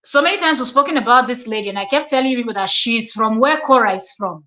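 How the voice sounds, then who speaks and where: A Nigerian-accented woman hosts a reaction commentary in a fairly high voice. She is confrontational and emphatic, and she restates her points to hammer them in. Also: fast, sharp tone. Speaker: commentary host.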